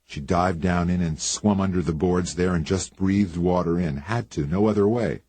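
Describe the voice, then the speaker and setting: Man doing a rough grieving caretaker. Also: low-pitched, moderate pace, a little mellow, dry recording. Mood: grim, quiet. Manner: blunt, rough country speech. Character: distraught rough male cabin caretaker.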